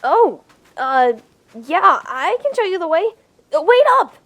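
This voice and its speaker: A woman's voice: very high-pitched, very animated, measured pace, fairly bright tone. A young woman voicing a nervous, upbeat lead in a comic dub, with lots of fillers.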